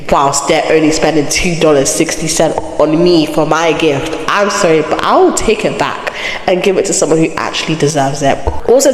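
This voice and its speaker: A woman hosting a crochet channel, chatting with a blocked, nasal voice from a cold, reacting animatedly and laughing in disbelief. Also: on the low side. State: has a cold, blocked nose. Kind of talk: chatty reading and reacting to online posts. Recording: echo effect with long reverb.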